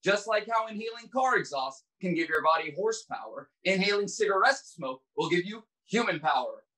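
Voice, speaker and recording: Man acting an animated, high-voiced quack doctor. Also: fairly quick, rough recording. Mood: indignant, conspiratorial. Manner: earnest, conspiratorial salesman patter. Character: shady, smugly confident quack doctor pitching cigarettes. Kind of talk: 1940s radio ad acting, comedic.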